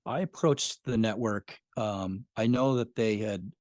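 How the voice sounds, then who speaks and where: A man's voice: fairly low pitch, a little slow, a little mellow, no echo, clean studio sound. A middle-aged man, a podcast creator, talking casually as a guest, reflective and full of fillers. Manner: reflective, rambling with many fillers. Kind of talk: casual interview conversation.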